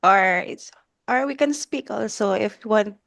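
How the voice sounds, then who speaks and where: A woman's voice: mid-range voice, a little slow, gravelly, a bit bright. A Filipino woman guest on a live stream, answering a question in a hesitant way, searching for words. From Philippines. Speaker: panel guest.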